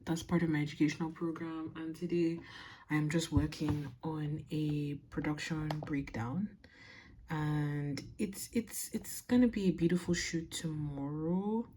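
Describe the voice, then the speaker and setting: A woman's voice: low-pitched, steady tone, unhurried, dark tone, a little hiss or hum. A young woman talking candidly and self-assuredly in a casual, reflective, rambling personal video diary. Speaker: vlogger and visual artist.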